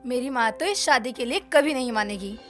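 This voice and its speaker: A woman's voice: fairly high pitch, expressive, brisk, fairly bright tone, soft background music. A woman voicing a worried, practical young bride-to-be in a cartoon story. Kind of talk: Hindi cartoon story voice acting.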